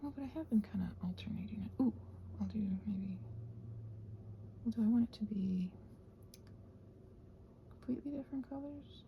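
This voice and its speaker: A woman chatting casually while crafting, soft and often dropping to a near-whisper, hesitant and thinking aloud, with playful little exclamations. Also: on the high side, normal speed, pauses often, muffled recording, slight background noise. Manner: hesitant, thinking aloud, trailing off mid-sentence. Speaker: host of a crafting ramble channel. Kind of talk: casual rambling craft chat, ASMR-like.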